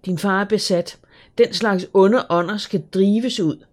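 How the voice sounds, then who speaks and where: Narrator putting on a chatty eager girl, higher and louder. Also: close to an everyday voice. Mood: excited. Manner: eager, gossipy, quick. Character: chatty bold girl working at a guesthouse.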